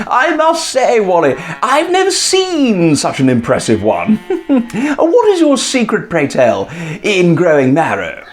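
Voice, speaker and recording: Comic radio vicar, male actor: English, genteel, giggly, slow and extravagantly sing-song. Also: high-pitched, wildly swooping pitch, exaggerated voice. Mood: delighted, flattering. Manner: effusive, genteel, tittering, drawn-out delivery. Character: fussy, effusive, giggly village vicar, male. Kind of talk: comic radio drama acting.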